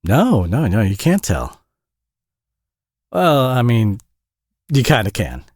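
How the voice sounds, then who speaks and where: A man's voice: mid-range voice, very animated, slow pace, darkish tone, no room sound, heavily acted. A man acting a tired, flustered, friendly single dad with a Southern US accent in an intimate audio roleplay, rambling and stammering in a folksy, self-deprecating way. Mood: rambling, sheepish.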